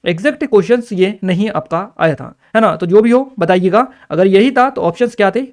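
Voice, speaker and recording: A man's voice: sits high, a little fast. A man takes students through an exam review. He reads material out and then explains it, repeating key points. His manner is instructive and directed straight at the learners. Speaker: exam-prep teacher. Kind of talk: explanatory exam review lecture, partly read out.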